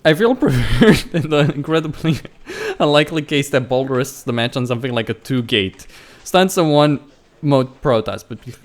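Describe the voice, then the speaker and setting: A man's voice: medium pitch, lively intonation, normal speed. A man hosting a let's play, reading visual novel dialogue aloud and adding casual commentary, stumbling and correcting himself as he goes. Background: game music.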